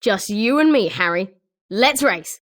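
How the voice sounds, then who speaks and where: A woman's voice: a little high, animated, a little slow. A woman with a British accent voicing an eager, sporting schoolboy wizard with chirpy, emphatic delivery in a video game. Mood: eager, challenging. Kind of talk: scripted video game character lines.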